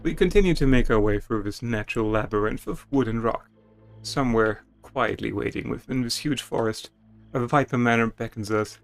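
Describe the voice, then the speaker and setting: Higher-pitched man narrating theatrically over music. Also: moderate pace, vocal fry. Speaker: streamer. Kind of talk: dramatic reading of game text with casual asides.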